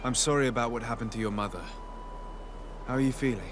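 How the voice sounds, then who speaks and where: A man's voice: medium pitch, steady tone, quick pace, lightly acted. An actor playing a courteous, compassionate young nobleman and diplomat in a video game, speaking politely and sincerely. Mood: sympathetic, regretful. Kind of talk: video game dialogue acting. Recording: game dialogue under prominent music.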